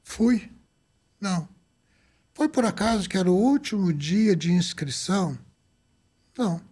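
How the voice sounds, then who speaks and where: Lively older man telling stories. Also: sits high, measured pace. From Azores, Portugal. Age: 70s. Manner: lively, acts out quoted dialogue. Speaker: doctor guest. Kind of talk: storytelling interview.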